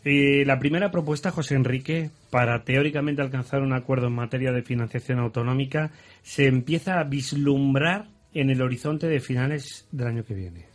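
A man's voice: medium pitch, moderate pace. A man from Spain hosting a radio political panel, talking casually and calling on panelists by name. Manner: conversational, addresses panelists by name, teasing asides. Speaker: radio talk show host. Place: radio studio.

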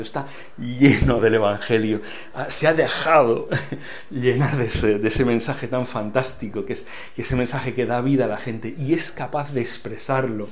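An older man with a foreign accent, a church leader giving earnest interview answers and repeating key phrases for emphasis. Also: medium pitch. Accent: foreign accent in Spanish.

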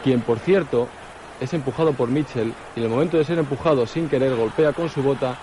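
A man with a Spain Spanish accent giving measured television football replay commentary in an old broadcast recording. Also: medium pitch, dark tone. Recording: noisy archive television broadcast.

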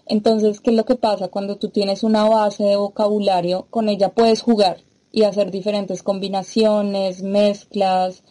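A woman's voice: mid-range voice, little pitch movement, unhurried, warm tone. A woman from Latin America, a guest in an interview, explaining her ideas in a thoughtful way with small fillers. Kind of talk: interview conversation.

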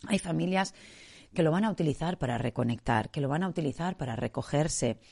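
A woman's voice: sits low, lively intonation, brisk, vocal fry, a little mellow, breathy edge. A woman from Spain with a Spanish accent who has a cold and a cough, hosting a podcast in a chatty, meandering way. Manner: chatty and meandering, many fillers. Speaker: podcast host. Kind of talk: casual podcast talk.

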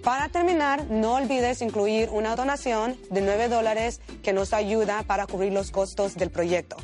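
A woman's voice: fairly high pitch, moderate pace, a little mellow. A woman from Honduras, presenting a scripted instructional charity video. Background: background music.

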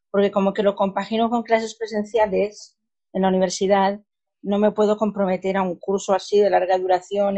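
A woman's voice: medium pitch, mellow. A woman who teaches Spanish and is a former examiner, explaining her view in a group discussion.

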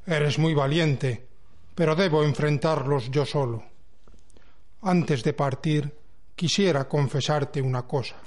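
A man playing a devoted, lovestruck gentle giant in an amateur radio drama, speaking solemnly. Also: fairly high pitch, takes it slowly. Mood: grave, resolute. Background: music.

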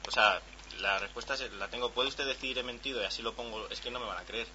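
A man working as a radio prank caller, putting on a deadpan phone survey in a muddled, insistent, pestering way. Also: mid-range voice, quick pace, lo-fi sound, slight background noise. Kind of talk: deadpan fake telephone survey.

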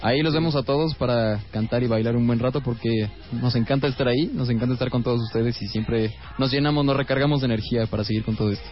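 Friendly young Mexican singer. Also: male voice, mid-range voice, steady tone, fast, hardly any pauses, dull audio, faint music behind. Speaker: boy band singer. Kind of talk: casual radio interview.

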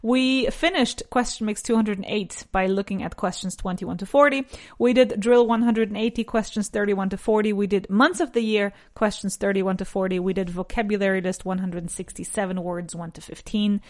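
A woman with a nasal voice teaching an English lesson. She repeats each sentence slowly and switches between English and Spanish. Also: medium pitch, steady tone, darkish tone. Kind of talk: bilingual language lesson.

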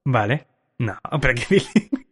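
A man's voice: medium pitch, very animated, moderate pace, slightly rough. A man from Spain telling a long travel anecdote in a casual chat, laughing often and swearing casually. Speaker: guest storyteller.

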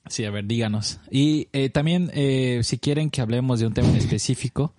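A man's voice: medium pitch, brisk, a little mellow, no echo, studio quality. A young man hosting a casual podcast, speaking hesitantly and often repeating his words. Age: young adult. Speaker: podcast host.

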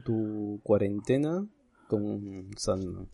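A man's voice: lowish voice, very slow, vocal fry, mellow. A man co-hosting a podcast in casual chat, often repeating and rephrasing what he says. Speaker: podcast co-host.

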